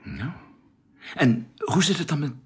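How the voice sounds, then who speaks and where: An actor in a Dutch radio drama playing a gentle space traveller in love, speaking tenderly and with some hesitation. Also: male voice, a little high, lively intonation, a little slow, breathy edge, exaggerated voice. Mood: curious, amused.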